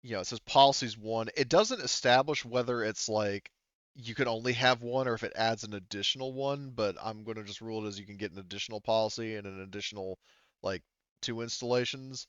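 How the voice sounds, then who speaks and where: A man running a tabletop roleplaying game as game master, casually talking through rules and haltingly thinking aloud. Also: lowish voice, fairly bright tone, clean tone, no room sound, dull audio.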